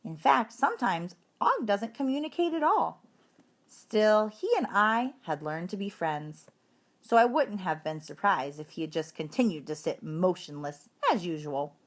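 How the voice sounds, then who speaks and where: A woman reading a children's story aloud in an expressive, emphatic narrating style. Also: fairly low pitch, a little slow. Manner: animated, emphatic delivery. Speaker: audiobook reader.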